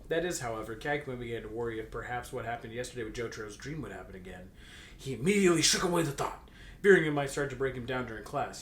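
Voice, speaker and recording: A man doing a comedic, mock-dramatic read-aloud of fan fiction with joking banter. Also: medium pitch. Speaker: co-reader reading fan fiction aloud with commentary. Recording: echoey room with faint background noise.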